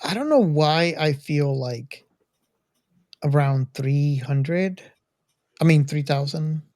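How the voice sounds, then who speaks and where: A man in casual conversation, thinking his answers out loud as a quiz participant. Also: on the high side, slow pace, dry recording, clean studio sound. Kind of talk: casual chat, thinking aloud.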